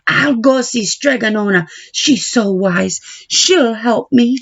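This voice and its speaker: A woman's voice: fairly high pitch, slow, a bit bright, highs cut off, a little hiss or hum, pitched above the performer's own voice. A woman voicing an earnest, overworked baker's daughter in a picture book. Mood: determined. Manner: plaintive, earnest. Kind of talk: picture book read-aloud character voice.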